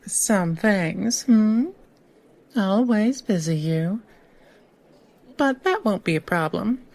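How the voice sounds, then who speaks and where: Actress as slow, silky, sly woman lawyer. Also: mid-range voice, animated, darkish tone. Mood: teasing. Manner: unhurried, teasing, theatrical. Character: sly, silky woman lawyer, old friend, teasing and shrewd. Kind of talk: audio drama dialogue.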